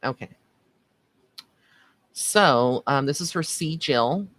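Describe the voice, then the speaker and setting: A man's voice: mid-range voice, animated, slow, fairly bright tone, dry recording. A man working as a psychic medium, giving card readings in a casual, chatty livestream style with informal endearments for his listeners. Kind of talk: casual livestream chat with viewers.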